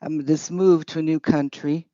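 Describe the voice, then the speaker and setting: A woman's voice: low-pitched, steady tone, moderate pace, mellow, no echo. A woman interpreting at a public hearing in short, hesitant, piecemeal phrases. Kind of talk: halting consecutive interpretation. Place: committee hearing. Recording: dull, muffled audio.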